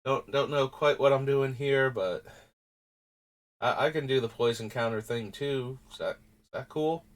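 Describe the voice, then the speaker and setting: A man's voice: mid-range voice, measured pace, lightly acted. A man voicing an awkward, apologetic young man who has wandered in, speaking hesitantly with a nasal sound. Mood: sheepish.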